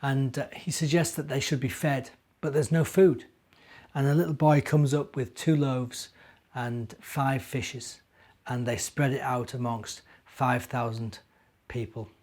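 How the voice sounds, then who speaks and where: A middle-aged man, a minister, telling stories to young children and chatting to them directly with gentle questions. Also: mid-range voice, steady tone, unhurried. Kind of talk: children's storytelling and gentle chat.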